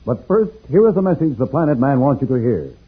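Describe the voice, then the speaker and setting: A man's voice: mid-range voice, sing-song, fast, slightly rough. A man narrating an old-time radio serial as announcer, in a theatrical, declamatory scripted style. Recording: narrow-band vintage radio, loud music behind. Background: loud music.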